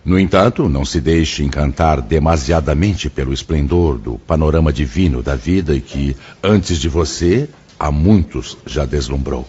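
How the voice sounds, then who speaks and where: Venerable brazilian spirit guide, benevolent and formal, deep husky voice, lo-fi radio play. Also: male voice. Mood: gently warning. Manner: measured, formal, gently admonishing. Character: venerable male spirit mentor, wise and benevolent. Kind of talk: Brazilian radio drama acting. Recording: dull lo-fi audio.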